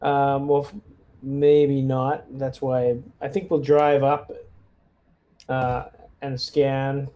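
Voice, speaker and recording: A man's voice: medium pitch, little pitch movement, takes it slowly, dark tone. A man chatting casually as a player in a tabletop roleplaying game, talking in a tentative, hedging way. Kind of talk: casual game planning chat.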